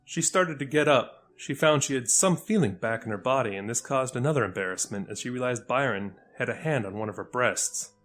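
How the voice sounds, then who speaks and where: A man narrates scripted fiction as a storyteller. His voice is mid-range, with quiet music playing behind him. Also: mid-range voice, animated, brisk, hardly any pauses. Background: faint music.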